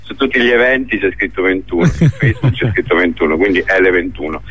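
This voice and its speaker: A man's voice: deep voice, quick pace, a bit bright, husky. A man speaking as a theatre director in a conversational radio interview, hesitant and searching for his words. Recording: thin phone-like radio line.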